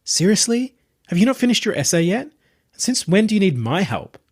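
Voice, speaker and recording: Man voicing a sardonic young woman student, pitched up. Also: very animated, moderate pace, breathy edge, full character voice. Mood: incredulous, scornful. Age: young adult. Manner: dry, grumbling, blunt. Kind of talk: audiobook character voice.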